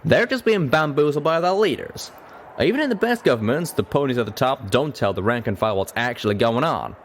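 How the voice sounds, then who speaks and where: A man's voice: medium pitch, expressive, brisk, slight background noise, pitched above the performer's own voice, natural voice. A man voicing an earnest, folksy pegasus cowboy pony in a storybook reading. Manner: drawling, folksy.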